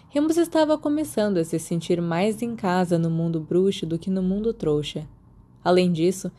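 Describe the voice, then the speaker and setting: A woman's voice: medium pitch, mellow. A woman with a Brazilian Portuguese accent reading a story aloud as a scripted audiobook narrator. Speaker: podfic narrator.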